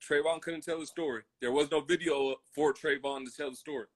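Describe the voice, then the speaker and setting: A man with a high-pitched voice and an American accent talks casually on a podcast. He is emphatic and impassioned, repeating phrases for weight and voicing imagined quotes. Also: fairly even intonation, fairly quick. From military family, grew up in Germany, Colorado and Hawaii. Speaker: podcast co-host.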